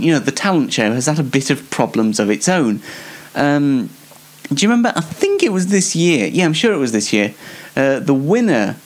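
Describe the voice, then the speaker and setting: A young British man hosting a show on his own, giving a casual, rambling comedic monologue in a self-deprecating way. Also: a little high. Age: young adult. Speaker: solo host of an online talk show.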